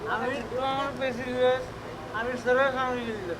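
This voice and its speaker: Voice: fairly even intonation, normal speed, crowd noise, close to an everyday voice. An amateur stage performer playing a muddled, argumentative sidekick who dodges blame with blurted protests in a comic play. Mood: evasive. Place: school stage event, live telecast. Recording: noisy live stage, traffic-like rumble.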